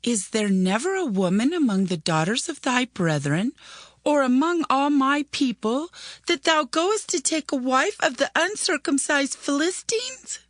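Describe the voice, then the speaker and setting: A woman's voice: sits high, sing-song, a little slow, dry recording. An actress playing an earnest, reverent Israelite wife and mother in a dramatized Bible reading, with emphatic, solemn phrasing. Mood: reproachful, pleading. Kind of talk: dramatized Bible audio acting.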